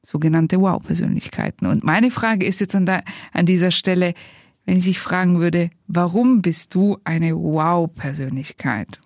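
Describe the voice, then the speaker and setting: A woman's voice: on the low side, moderate pace, darkish tone, no room sound. Effusive woman hosting, close dry sound. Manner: effusive, complimentary. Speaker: podcast host. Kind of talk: conversational interview hosting.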